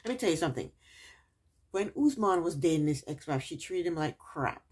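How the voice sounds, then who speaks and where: Husky-voiced sarcastic woman reviewer. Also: deep voice, animated, a little fast, darkish tone. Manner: sarcastic, chatty, repeats punchlines. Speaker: reality TV recap vlogger. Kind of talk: casual episode review.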